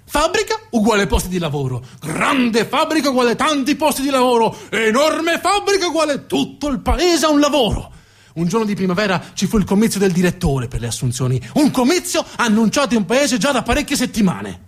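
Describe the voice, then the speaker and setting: A man's voice: very high-pitched, very animated, a little fast. A man telling a story as a stage narrator, emphatic and dramatic, building up lists and repetitions.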